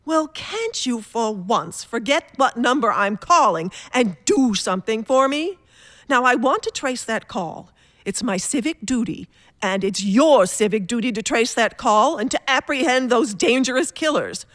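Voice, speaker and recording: A woman's voice: a little high, lively intonation, unhurried, a bit bright, no echo. A woman playing an imperious, panicky telephone caller in a radio thriller, melodramatic and insistent. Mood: indignant, demanding.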